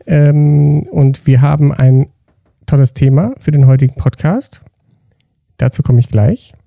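A man's voice: fairly high pitch, a little slow, creaky, mellow, no room sound, studio quality. A man hosting a company podcast, chatting loosely with restarts while he introduces guests and asks questions. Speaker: podcast host.

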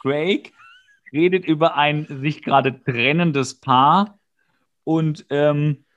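A man with a slightly high voice co-hosts a podcast. He retells a show casually and comedically, dropping in wry asides and mimicking characters as he goes. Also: slow pace, fairly bright tone, muffled recording. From Hesse, Germany. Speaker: podcast co-host. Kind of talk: casual comedic episode recap.

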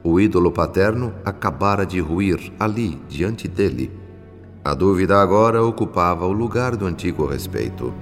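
An actor with a Brazilian accent playing a grave, benevolent spirit who narrates a radio soap opera in solemn, literary, deliberate phrasing. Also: male voice, low voice, expressive. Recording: long reverb, music underneath.

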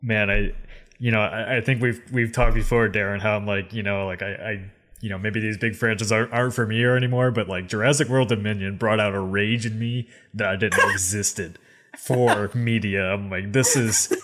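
A man's voice: lowish voice, fairly even intonation, normal speed, fairly bright tone. A man co-hosting a casual film podcast, ranting with exasperation and trailing off between thoughts. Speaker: podcast co-host reading super chats. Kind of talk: casual chat.

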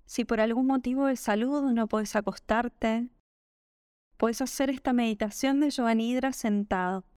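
A woman with a Rioplatense Spanish accent narrating a guided meditation in a gentle, calming, unhurried manner. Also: on the high side, clear, resonant tone, dry recording, clean studio sound. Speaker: yoga nidra meditation guide.

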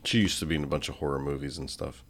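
A man around thirty co-hosting a podcast, teasing and expressive as he chats casually with a friend. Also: rumbling low, rapid-fire. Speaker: podcast co-host. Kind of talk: casual chat between friends.

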